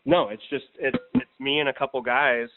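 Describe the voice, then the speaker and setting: A man's voice: medium pitch, fairly even intonation, normal speed, noisy background. A man with an American accent explaining plans in a confident, informal way during a casual phone conversation. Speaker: political organizer explaining a plan. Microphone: telephone. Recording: tinny recorded phone call.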